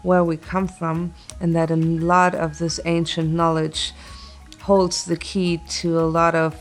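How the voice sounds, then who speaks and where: Low-voiced, even-toned woman in reflective interview. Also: faint music behind. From born in Chile, grew up in Italy, England and Germany. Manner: earnest, thoughtful, pausing mid-sentence. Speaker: music artist being interviewed.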